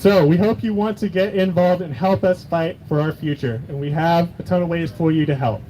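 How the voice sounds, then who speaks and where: A man rallies an outdoor crowd with urgency, his voice set high for a man. Faint street noise sits behind his speech. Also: fairly even intonation, fairly quick, dark tone, poor audio. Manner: rousing, urgent call to action. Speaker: activist organizer. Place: outdoor rally.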